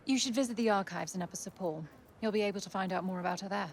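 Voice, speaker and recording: An actress playing a gentle, earnest young woman, a devoted daughter, in a video game. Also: medium pitch, rapid-fire, a little hiss or hum, natural voice. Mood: kind, grateful. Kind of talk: naturalistic video game acting.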